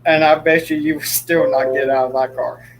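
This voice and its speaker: A man's voice: fairly high pitch, steady tone, moderate pace, rough recording. A young man hosting a casual livestream chat, joking often and laughing easily. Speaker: livestream host.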